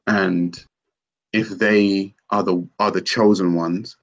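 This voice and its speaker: A man's voice: deep voice, little pitch movement, slow pace, dull audio. A man hosting a casual conversation, skeptical and questioning, arguing with rhetorical questions.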